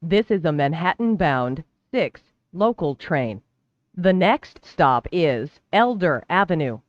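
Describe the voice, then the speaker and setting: A train announcer delivering scripted transit announcements in a formal, measured, clearly enunciated way. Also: medium pitch, sing-song, no echo, muffled recording. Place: recorded train public-address announcement.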